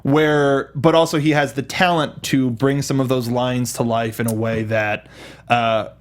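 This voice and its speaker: A man's voice: mid-range voice, little pitch movement, unhurried. A man co-hosting a review show, chatting casually and animatedly about films. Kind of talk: casual film discussion.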